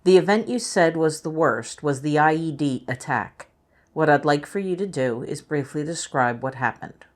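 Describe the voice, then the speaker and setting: Low, measured female clinician. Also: animated. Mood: neutral, measured. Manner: measured, neutral. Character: measured female clinician giving a structured interview. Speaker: clinician interviewer. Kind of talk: scripted clinical interview questions.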